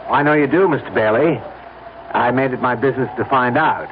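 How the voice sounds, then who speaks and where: An actor playing a polite but insistent, resentful blackmail victim in an old-time radio drama. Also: male voice, medium pitch, fairly quick, lightly acted. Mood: intent, bitter. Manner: polite, hesitant openings, then insistent. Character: resentful, determined male blackmail victim recruiting an accomplice. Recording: muffled, noisy vintage radio broadcast.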